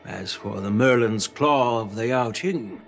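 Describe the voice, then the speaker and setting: An actor playing an older, stern male general who lectures slowly and with measured weight. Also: soft background music. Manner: measured, lecturing, lively pitch. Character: stern old male general, wise and commanding. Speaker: veteran general. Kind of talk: game voice acting.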